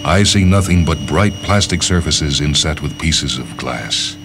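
A man narrating a literary short story from a script, with measured, deliberate phrasing and dramatic pauses. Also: extremely low pitch, lively intonation, noisy background.

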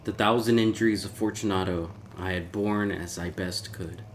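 A man giving a measured, formal, brooding dramatic reading of a classic horror story as its narrator. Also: low voice, steady tone. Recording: clear background noise, ambience.

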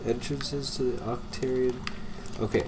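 A man's voice: mid-range voice, fairly even intonation, a little slow, darkish tone, soft background music, poor audio. A congested young man with a cold, rambling and laughing as he comments on a game he is playing. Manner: rambling, laughs often, trails off. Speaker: let's play commentator. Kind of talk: casual gameplay chat.

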